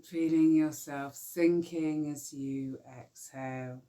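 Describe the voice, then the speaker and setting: A woman guiding a yoga nidra relaxation, speaking softly and soothingly with long pauses. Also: low-pitched, fairly even intonation. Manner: slow, soft, soothing guidance with long pauses. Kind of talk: guided relaxation meditation.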